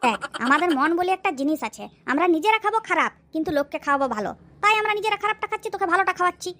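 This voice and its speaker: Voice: extremely high pitch, expressive, sharp tone, exaggerated voice. An actor voicing a carefree, blustering village drunkard in a comedy cartoon, bantering cockily. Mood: scolding. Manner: cocky, rapid rustic banter. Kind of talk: exaggerated comic cartoon dialogue.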